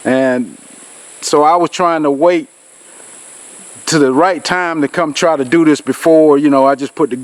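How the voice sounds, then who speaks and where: A man's voice: on the high side, measured pace, long pauses, no room sound, rough recording. Nasal-sounding man outdoors. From Georgia, US. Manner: rambling, folksy, with many fillers. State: nasal from a cold. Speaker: outdoors channel host. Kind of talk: casual vlog talk to camera. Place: outdoors in a rural field. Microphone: camera on a tripod.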